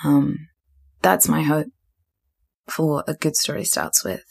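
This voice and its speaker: A woman's voice: low voice, fairly even intonation, takes it slowly, vocal fry, darkish tone, husky. A young woman from Zimbabwe and New Zealand hosting a solo podcast, rambling and thinking aloud with lots of fillers. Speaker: podcast host.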